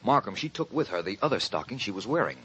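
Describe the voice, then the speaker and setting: Smooth old-radio detective, low husky voice, dry wit, really lively inflection. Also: male voice, full character voice, rough recording. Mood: deducing. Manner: measured, dryly witty. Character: suave gentleman detective, cool and deductive. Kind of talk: 1940s radio acting.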